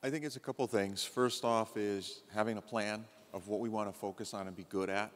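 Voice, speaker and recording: Man, fifties, executive panelist, structured, low voice, long hall reverb. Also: steady tone, fairly quick. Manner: structured, lists points in order. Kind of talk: conference panel answers. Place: large conference hall stage.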